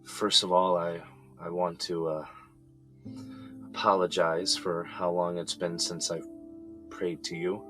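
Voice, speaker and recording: An actor playing a grown son who is in jail and prays for help, in an earnest, halting way with sighs. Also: male voice, sits low. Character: adult son jailed, praying for release. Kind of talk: audio drama acting. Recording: music under the voice.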